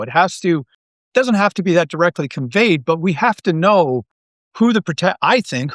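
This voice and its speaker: A middle-aged man talking casually as an interview guest, thinking aloud with halting, repeated phrases. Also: a little high, a bit bright, dry recording, clean studio sound. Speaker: guest, audio drama writer and creator.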